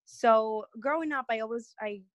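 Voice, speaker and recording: A woman's voice: fairly high pitch, moderate pace, no echo, muffled recording. A young woman from Peru, a guest on an interview podcast, telling her story in a rambling, earnest way with many restarts.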